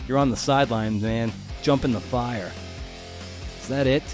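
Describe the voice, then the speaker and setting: Man, podcast host, conversational and slangy, average pitch, guitar music behind. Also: normal speed. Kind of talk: casual, chatty podcast hosting.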